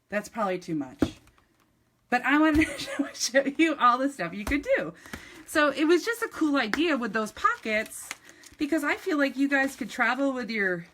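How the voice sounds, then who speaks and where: Woman, middle-aged, upstate New York accent, chatty live craft demonstrator, faint clicks and handling noise behind. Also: fairly high pitch. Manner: chatty, enthusiastic, many ums and restarts. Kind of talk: live-streamed craft demo.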